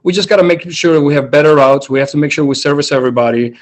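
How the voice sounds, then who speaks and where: A man's voice: on the high side, quick pace. Chatty man. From Greece. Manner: chatty, with many fillers. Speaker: mayoral candidate. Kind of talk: forum answer on a video call. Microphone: video call.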